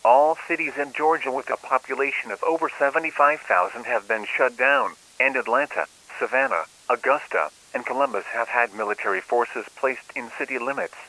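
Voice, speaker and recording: A man's voice: medium pitch, a little fast, few pauses, rough recording. A man playing a grim government emergency announcer, reading scripted warnings in a stern, official way over a radio. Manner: stern, flat, official delivery.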